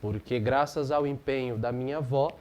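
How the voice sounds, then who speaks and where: A man's voice: mid-range voice, normal speed, darkish tone. A young man in his twenties, a parish priest chatting as a guest, laughing easily and repeating his phrases. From Brazil. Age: 20s. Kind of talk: casual interview chat.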